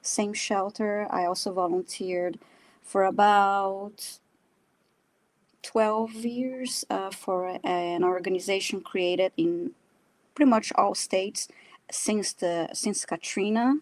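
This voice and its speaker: A woman with a Brazilian Portuguese accent tells her own story in a casual live chat. She speaks at a middle pitch, pausing and restarting as she searches for words. Her manner is modest and self-deprecating. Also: steady tone, no room sound. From São Paulo, Brazil. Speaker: guest, animal communicator and energy healer.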